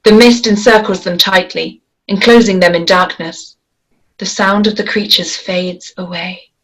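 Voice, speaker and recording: Woman, mid-pitched, measured screenplay table read. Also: fairly even intonation, poor audio. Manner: measured, descriptive reading. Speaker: script reader.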